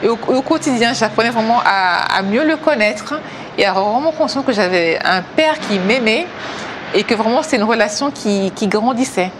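A woman in her thirties from Africa, giving a personal faith testimony hesitantly, with many pauses. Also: a little high, animated, background noise. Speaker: testimony speaker.

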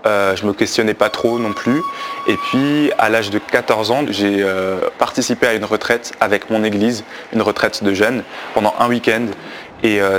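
A man in his twenties from Belgium, telling his personal faith story in a halting, reflective way with many pauses and restarts. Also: fairly low pitch, fairly bright tone, a little hiss or hum. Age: 20s. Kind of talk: personal testimony, unscripted.

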